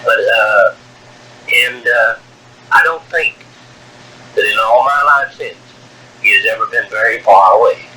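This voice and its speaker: Slow-talking older man on hissy cassette. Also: mid-range voice, sharp tone, rough recording. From Wyoming, USA. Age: 60s. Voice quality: strong voice. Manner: slow, halting, many fillers and restarts. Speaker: narrator of own life story. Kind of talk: taped personal memoir. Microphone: cassette recorder. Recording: old home cassette tape with hiss.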